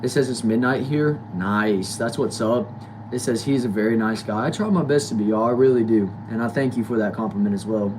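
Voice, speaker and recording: A man's voice: lowish voice, a little fast, background noise. A nineteen-year-old man hosting a casual livestream, reading viewers' comments aloud and answering them loosely. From United States. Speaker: livestream host reading chat.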